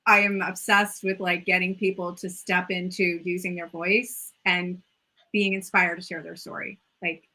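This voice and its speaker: A woman's voice: fairly low pitch, little pitch movement, moderate pace, pushed and bright, rough recording. A woman hosting a casual interview, enthusiastic and chatty, often repeating phrases and saying "like". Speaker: host and yoga mentor. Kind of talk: casual video-call interview.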